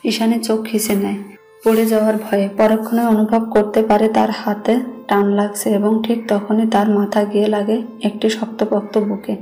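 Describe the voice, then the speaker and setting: A woman's voice: mid-range voice, warm tone, low-quality recording. A woman with a Bangladeshi accent reading a scripted romantic story as its narrator. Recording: music bed behind the voice.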